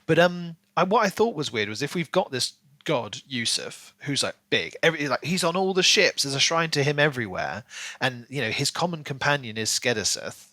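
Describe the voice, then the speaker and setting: A man's voice: medium pitch, a little fast, no room sound. A man chatting casually and animatedly as a podcast co-host in a book discussion. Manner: animated, acts out imagined lines in playful voices.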